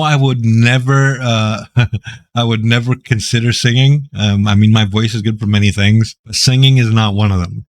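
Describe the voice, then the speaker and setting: A man from Greece with a deep, raspy voice, a gaming YouTuber casually reading out and answering viewer questions with jokes and rambling asides. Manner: laid-back, joking, rambling.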